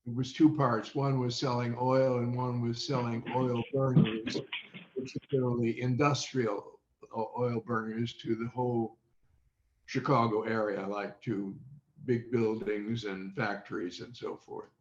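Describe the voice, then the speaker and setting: An American man in his eighties answers as an interview guest, recollecting slowly and deliberately. His delivery is halting and unhurried at a middling pitch. The call audio is muffled and quite roomy. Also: level tone, a little mellow, slight background noise. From Indiana, United States. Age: 80s. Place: indoors on a video call.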